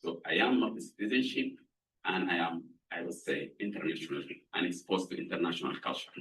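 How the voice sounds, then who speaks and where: A middle-aged man from Sudan, speaking, gives an earnest, measured lab introduction as a professor, pausing to find words. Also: low voice, lively intonation, a bit bright. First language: Arabic. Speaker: professor presenting his lab. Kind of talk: prepared lab introduction talk. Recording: voice somewhat far from mic.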